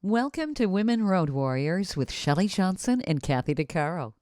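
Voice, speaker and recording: A woman's voice: fairly low pitch, very animated, normal speed, darkish tone, faint music behind. A woman co-hosting a trucking podcast, reading the introduction and then interviewing a guest. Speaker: podcast co-host. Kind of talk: scripted show intro and interview.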